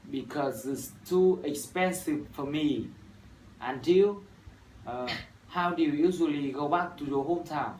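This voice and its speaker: A Vietnamese man in his early twenties speaks in steady, rehearsed English with a Vietnamese accent and the odd "uh". He is recorded from a distance in a roomy, echoing space, with faint noise behind him. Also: a little high. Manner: measured, rehearsed delivery with "uh" fillers. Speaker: university student. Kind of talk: scripted classroom dialogue practice. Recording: echoey room from far off, faint noise.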